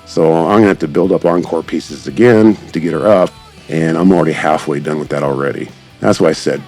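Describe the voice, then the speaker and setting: A man's voice: extremely low pitch, a little mellow. A man giving casual, enthusiastic, rambling gameplay commentary as a channel creator. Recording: background music behind the voice. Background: music.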